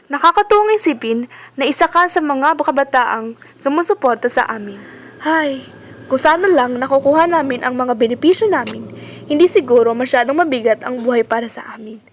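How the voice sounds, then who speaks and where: A woman's voice: high voice, dry recording. A young woman with a Filipino accent playing an earnest, weary, underpaid university worker in an amateur radio drama.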